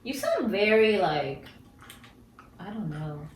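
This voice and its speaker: A woman's voice: mid-range voice, very animated, slow pace. A woman co-hosting a podcast who asks curious questions in casual chat. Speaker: podcast co-host. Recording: roomy, distant mic, faint noise.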